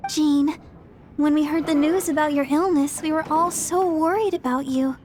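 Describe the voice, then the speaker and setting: A gentle young woman from a video game, played by an actress. She sounds sweet and caring in a high voice. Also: steady tone, moderate pace, lightly acted. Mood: concerned.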